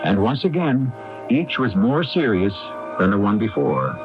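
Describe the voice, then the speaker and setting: A man's voice: medium pitch, sing-song, unhurried, over music. A man hosting a radio mystery series, delivering scripted dramatic narration. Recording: dull AM radio recording.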